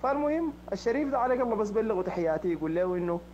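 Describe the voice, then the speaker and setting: A man's voice: high-pitched, lively intonation, rapid-fire, a little mellow, highs cut off, slight background noise. A man from Sudan with a Sudanese Arabic accent, talking earnestly and repeating himself in a voice message. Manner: earnest, repetitive. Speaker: prank victim. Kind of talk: casual voice message.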